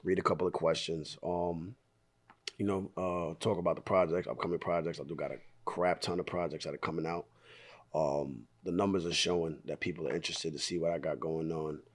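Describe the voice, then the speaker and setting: A hoarse man in his thirties from Staten Island, being interviewed casually about his music, laid-back and rambling, clearing his throat often. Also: deep voice, steady tone, fairly quick. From Staten Island, New York. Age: 30s. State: hoarse, voice partly lost.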